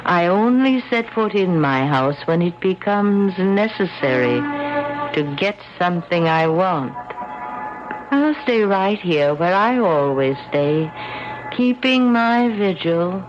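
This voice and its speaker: An actress playing a mournful, insistent old ghost of a sea captain's widow in a solemn, old-fashioned radio drama style. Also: female voice, lowish voice, lively intonation, slow pace. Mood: wistful, insistent. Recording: dull old radio recording, music behind.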